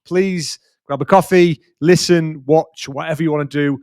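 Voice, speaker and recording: A man's voice: on the high side, steady tone, normal speed, a little mellow, clean tone, no room sound, clean studio sound. A man of forty hosting a podcast in casual chat, loose and talkative with plenty of restarts. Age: 40s. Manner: chatty, loose with many restarts and fillers. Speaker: podcast host.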